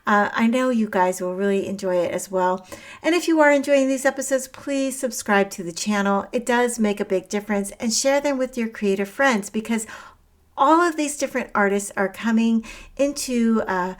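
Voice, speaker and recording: A woman's voice: fairly high pitch, moderate pace. A woman hosting an interview show, introducing and chatting with her guest in a bubbly, enthusiastic way. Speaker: podcast host.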